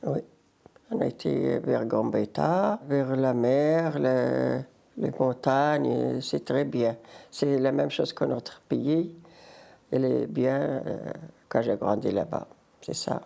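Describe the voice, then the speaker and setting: An older Berber-speaking woman from Morocco and Algeria with a North African accent, telling her life story in halting French mixed with Arabic. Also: very deep voice, creaky, dark tone. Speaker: interviewee, grandmother. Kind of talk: casual life-story interview.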